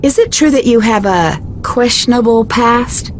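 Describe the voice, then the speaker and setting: A woman playing a level-headed, inquisitive young detective in a video game, speaking in a polite, measured, questioning way. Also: a little high, a little hiss or hum, natural voice. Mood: curious, skeptical. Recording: music behind.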